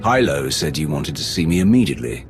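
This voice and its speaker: A man's voice: very deep voice, big pitch swings, a little fast. An actor playing a blunt, wary male Sith warrior who speaks tersely and directly. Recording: game cutscene over loud music.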